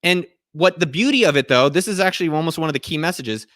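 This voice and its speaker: A man in his thirties hosting a casual live Q&A from a small room, giving a profane, energetic pep talk to his viewers. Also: on the high side, fast talker, no echo, clean studio sound. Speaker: host answering viewer questions. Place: small indoor room at home.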